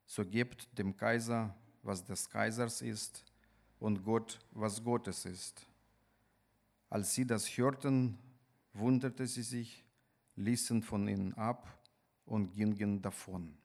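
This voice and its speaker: A male preacher with a Ukrainian accent speaks at a very slow pace in a fairly low voice. He delivers his sermon deliberately, often pausing partway through a sentence. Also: steady tone, a little mellow. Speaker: guest preacher. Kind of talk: sermon with scripture reading.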